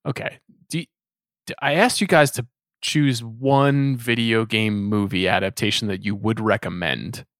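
A man's voice: lowish voice, measured pace, a bit bright, no echo, studio quality. A man hosting a podcast, putting questions to his guests in a casual discussion. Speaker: podcast host.

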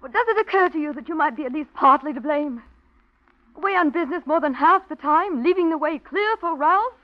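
An actress playing a calculating young wife in a 1940s radio drama, smooth and persuasive. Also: female voice, high voice, expressive, fairly quick. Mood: defensive. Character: scheming young wife plotting murder. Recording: tinny, dry old radio recording.

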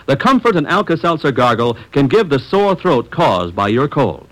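Animated male old-time radio announcer. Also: medium pitch, moderate pace, poor audio. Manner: folksy, emphatic salesman delivery.

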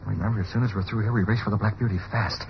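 An actor playing a cool, decisive publisher and secret vigilante in an old-time radio drama, clipped and commanding. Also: male voice, lowish voice, steady tone, very fast, gravelly. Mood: urgent. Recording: muffled, hissy old radio recording.

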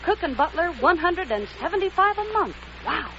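An actress playing a spunky, chatty young typist out of work, brisk and eager, in 1940s radio acting. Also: female voice, very high voice, very animated, fairly bright tone, exaggerated voice. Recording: dull old radio recording, hiss.

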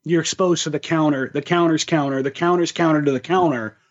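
A man's voice: on the high side, steady tone, a little fast, highs cut off. An American man talking sports casually as a podcast co-host, emphatic and opinionated, repeating words for stress.

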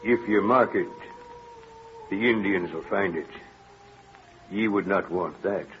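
An actor playing a grave, gently firm pioneer preacher with archaic, solemn phrasing in an old radio drama. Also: male voice, deep voice, takes it slowly, over music. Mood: grave, gentle. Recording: muffled, noisy vintage radio recording.

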